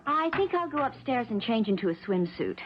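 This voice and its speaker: A woman's voice: on the high side, sing-song, a little fast. An actress playing a cold, spoiled, scheming young rich woman in a 1970s radio drama, speaking in a haughty, cutting way. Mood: cool, guarded. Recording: dull, noisy 1970s radio recording.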